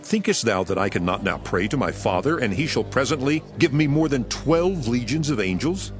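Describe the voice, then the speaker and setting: Male actor as holy teacher, solemn, sorrowful, authoritative, lively intonation, scripture audio drama, musical underscore. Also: mid-range voice, over music, close to an everyday voice. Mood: firm authority. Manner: solemn, measured declamation.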